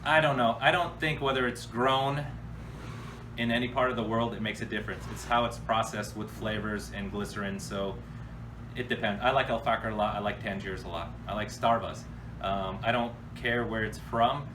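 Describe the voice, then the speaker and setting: A man's voice: lowish voice, fairly even intonation, moderate pace. A man from the United States hosting a casual, off-the-cuff question-and-answer chat in a blunt, slangy, informal way. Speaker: host answering viewer questions. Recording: distant mic with clear background noise.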